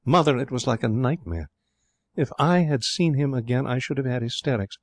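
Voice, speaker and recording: A man's voice: mid-range voice, expressive, moderate pace, dry recording, voice pushed up. A man voicing a spirited, petulant young woman in a storybook, with an emphatic, gushing manner. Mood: exasperated, dramatic.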